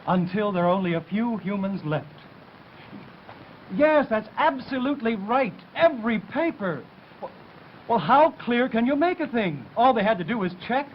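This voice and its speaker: An actor playing an earnest, exasperated man explaining himself in a period TV drama. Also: high voice. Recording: dull, faintly noisy TV soundtrack.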